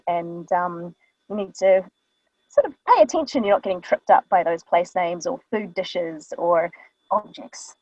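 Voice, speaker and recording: A woman's voice: medium pitch, darkish tone, no room sound. A woman with a New Zealand accent, a voice artist explaining her work in casual, chatty talk with many ums, speaking into a studio microphone in a small vocal booth. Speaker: voice artist guest. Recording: dull, dry booth sound.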